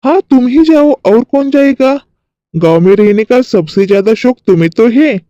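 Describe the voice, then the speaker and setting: A man's voice: extremely high pitch, animated, normal speed, warm tone, no room sound. A man voicing a bossy, needling older husband and father-in-law in a cartoon story, on a slightly muffled recording. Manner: instructing, needling.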